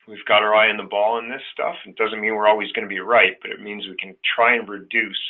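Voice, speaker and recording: A man co-hosting a client webinar as a financial advisor, explaining things conversationally over the phone. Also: fairly low pitch. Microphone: telephone. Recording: tinny, narrow phone line.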